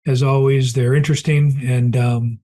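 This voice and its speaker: A man's voice: medium pitch, little pitch movement, normal speed, mellow. Chatty male host losing his voice. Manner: chatty, many fillers and throat clearing. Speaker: podcast host. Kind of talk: casual podcast outro.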